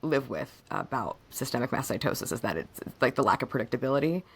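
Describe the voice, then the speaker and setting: A hoarse woman co-hosting a podcast, chatting casually and haltingly about personal matters, her voice fading a bit. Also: very deep voice, animated. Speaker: podcast co-host.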